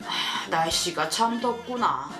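A woman voicing a poor, puzzled, weary male farmer in drawn-out storybook dialogue. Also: lowish voice, expressive, roomy sound, music in the background, pitched below the performer's own voice.

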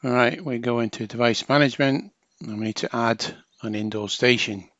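A man's voice: mid-range voice, a little fast, fairly bright tone, dry recording, lo-fi sound. A man narrating a hands-on technical tutorial as a trainer, explaining informally step by step.

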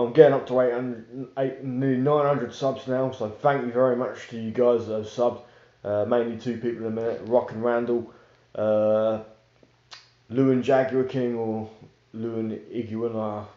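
A man's voice: mid-range voice, mellow. A young man chatting casually to his viewers in a rambling vlog, with many fillers, filmed on a phone at home. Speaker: YouTuber. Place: small room indoors, sitting on a sofa. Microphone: phone camera held close. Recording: roomy, dull lo-fi phone audio.

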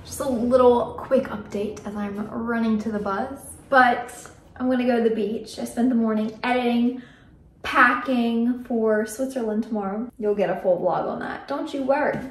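A woman's voice: a little high, fairly even intonation, moderate pace. A woman in her twenties chatting casually and informally to the camera as a travel vlogger. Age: 20s. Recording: music under the voice. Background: music.

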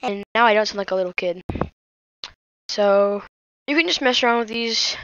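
A woman's voice: medium pitch, moderate pace, lo-fi sound, background noise. A woman hosting a tech tutorial, talking casually as she walks through steps on a screen. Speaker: host of a tech tutorial channel.

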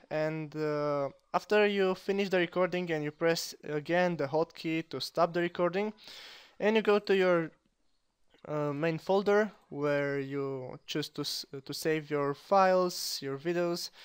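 A young man with a Romanian accent hosting a software tutorial at his computer, talking haltingly with many fillers and restarts. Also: fairly high pitch, clear, resonant tone. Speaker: tutorial host. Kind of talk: screen-recorded software tutorial.

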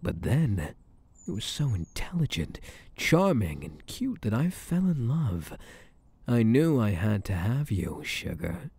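A man's voice: mid-range voice, big pitch swings, slow pace, creaky, a little mellow, breathy edge, dry recording, heavily acted. A man playing a smug, possessive mafia boss in an intimate roleplay, flirtatious and menacing, with a teasing, commanding manner. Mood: confiding. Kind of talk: intimate ASMR roleplay acting.

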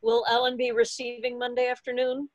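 A woman's voice: a little high, monotone, brisk, muffled recording. A woman chatting casually and jokingly with fellow club members on a video call, often laughing. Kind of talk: casual group chat. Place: indoors at home, video call.

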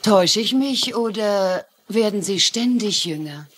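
An actress playing a sharp, sardonic estranged wife with blunt demands, in a German-dubbed mystery drama. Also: female voice, mid-range voice, animated, unhurried. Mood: cold, sardonic. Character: sharp, scornful estranged wife, hard-nosed businesswoman.

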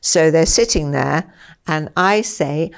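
An older woman with a slightly low voice talks through her ideas in an interview. She is animated and expressive, slipping into short acted-out exchanges. She sits in a small book-lined study with a lapel mic. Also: takes it slowly, vocal fry. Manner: animated, gestures a lot, acts out dialogue. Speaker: interviewee, former school head. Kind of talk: explanatory interview talk. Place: small study with bookshelves, indoors.

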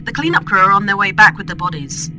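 A woman's voice: mid-range voice, lively intonation, quick pace, music in the background, close to an everyday voice, rough recording. A woman playing an anxious, dutiful, deferential assistant to a villain in a horror comic dub. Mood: nervous, deferential.

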